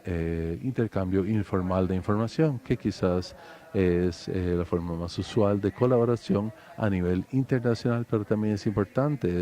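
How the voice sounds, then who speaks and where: A man with a low, mellow voice delivers a formal presentation to a conference panel. He speaks in a measured way and pauses often on hesitant fillers as he works through his points.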